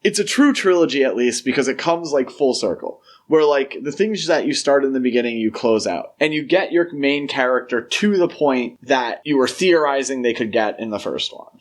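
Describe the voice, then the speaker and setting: A man with a middle-pitched voice talks as a podcast co-host. His delivery is informal and conversational, with "like" dropped often into his sentences. It sounds like a casual discussion of films between co-hosts. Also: lively intonation, moderate pace, few pauses. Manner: informal, peppers speech with "like".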